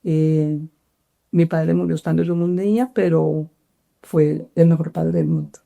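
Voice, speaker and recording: A middle-aged woman from Cali, Colombia, with a Colombian accent, telling her life story in an interview, halting with many fillers and restarts. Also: low voice, steady tone, vocal fry, mellow. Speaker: interview guest.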